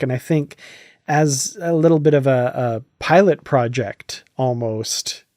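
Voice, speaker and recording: A man's voice: medium pitch, darkish tone, no echo, clean studio sound. A man hosting a casual podcast discussion, talking in an enthusiastic, conversational way. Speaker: podcast host.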